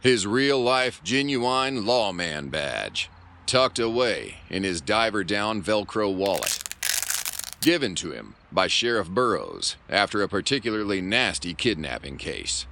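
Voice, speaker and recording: A man playing a melodramatic hardboiled serial narrator, grave and mock-heroic, with a gravelly voice. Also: fairly low pitch, very animated, unhurried, bright, forceful tone, heavily acted. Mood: wry. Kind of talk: pulp radio-serial narration. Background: guitar music.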